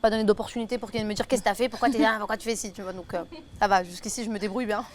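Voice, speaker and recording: A woman's voice: medium pitch, steady tone, rapid-fire. A woman in her twenties from Belgium, a singer and influencer chatting casually with many fillers and restarts. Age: 20s. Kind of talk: casual chat. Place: table at a food tasting.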